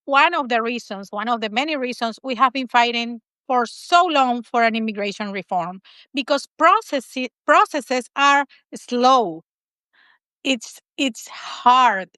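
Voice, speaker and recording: A woman's voice: on the high side, pushed and bright, no echo. A woman from Venezuela, hosting a live interview, eager and full of restarts and fillers. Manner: enthusiastic, with many fillers and restarts. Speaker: host.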